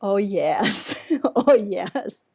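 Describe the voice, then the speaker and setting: A woman from Russia with a Russian accent, speaking earnestly as an interview guest in slightly halting English. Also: mid-range voice, animated, darkish tone. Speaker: guest, workshop teacher. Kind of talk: interview conversation.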